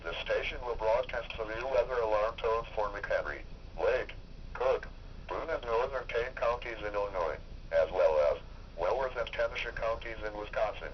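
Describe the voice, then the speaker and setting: A man reading a formal, measured station announcement over a weather radio. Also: lowish voice, far from the mic. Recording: dull noisy weather radio, picked up from afar.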